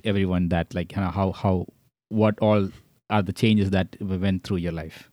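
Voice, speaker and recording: A man's voice: low voice, fairly even intonation, normal speed, a little mellow, dry recording. A man hosting a podcast interview, asking long, rambling questions with many restarts and backing his guest with encouraging murmurs. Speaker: podcast host.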